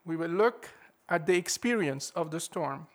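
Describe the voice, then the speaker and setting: A man preaching a sermon in a measured, emphatic way, leaning hard on key words. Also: a little high, expressive.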